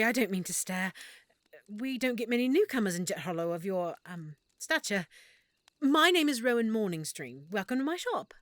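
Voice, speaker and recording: Casting: woman as a nervous, stammering half-elf merchant, lively pitch, dry close sound. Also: dry recording. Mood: polite. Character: shy, flustered half-elf woman shopkeeper. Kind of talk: audio roleplay acting.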